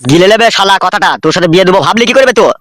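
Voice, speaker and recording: A man's voice: high voice, animated, very fast, a bit bright, no room sound, clean studio sound. A man voicing a crude, hot-tempered young village brother in a cartoon. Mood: furious. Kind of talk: cartoon voice acting.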